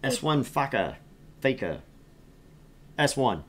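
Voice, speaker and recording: A man's voice: mid-range voice, very animated, slow pace, fairly bright tone. A man streaming a game, chatting informally and jokingly as he plays and reads along. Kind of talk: casual livestream chat while playing and reading a game.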